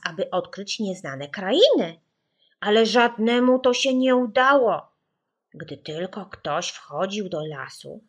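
A woman's voice: medium pitch, a bit bright, breathy edge, exaggerated voice. Woman as a girl solemnly telling spooky tales. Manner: slow, emphatic, mysterious.

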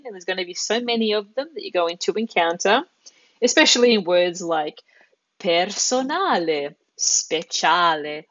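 A woman's voice: mid-range voice, dry recording, muffled recording. Encouraging Australian woman teaching online. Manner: encouraging, chatty, drawn-out careful pronunciation. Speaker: Italian teacher. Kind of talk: live online lesson. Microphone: headset.

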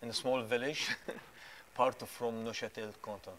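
A man's voice: medium pitch, flat delivery, moderate pace, fairly bright tone. A man, an interview guest telling his story with many hesitant fillers. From Egypt. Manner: hesitant, many "uh" fillers, non-native phrasing. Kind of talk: testimony interview. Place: small studio set with plants.

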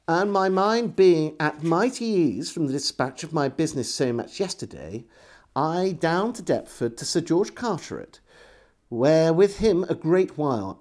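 A middle-aged man reading a historical diary aloud as a storyteller, in an expressive, measured way. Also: on the high side. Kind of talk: dramatic literary reading. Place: indoors, small room lined with bookshelves.

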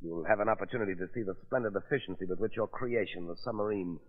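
A man's voice: on the low side, fast talker, lightly acted. A man playing a coldly menacing villain in a 1930s radio drama, formal and silkily threatening. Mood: cold menace. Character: coldly menacing villain, smooth mastermind of pirate submarine. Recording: thin, phone-like 1930s radio recording.

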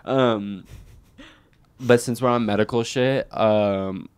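A man's voice: on the low side, steady tone, takes it slowly, darkish tone, clean tone. A young American man chatting casually as a comedic podcast co-host, slangy and sweary, with "like" all through his speech. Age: young adult. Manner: slangy, heavy use of "like" and swearing. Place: living room indoors, by a fireplace. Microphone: handheld microphone held close.

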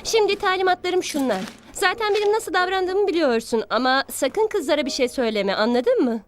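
A woman playing a headstrong teenage girl in a cartoon dub, bossy and expressive. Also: extremely high pitch, normal speed. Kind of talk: animated series dub acting. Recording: loud music behind the voice.

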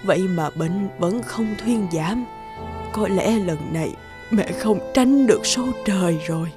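A woman's voice: medium pitch, measured pace, lightly acted. A woman voicing a frail, loving, ailing mother in a fairy-tale cartoon, weary and resigned. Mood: weak, resigned. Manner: weary, sorrowful. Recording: music under the voice.

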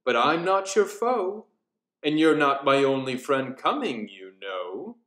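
A man's voice: medium pitch, very animated, takes it slowly, fairly bright tone. Man voicing a suave, coaxing tiger, roomy sound. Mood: reassuring. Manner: genteel, coaxing. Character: suave, courteous male tiger inviting a child to dinner. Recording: roomy echo.

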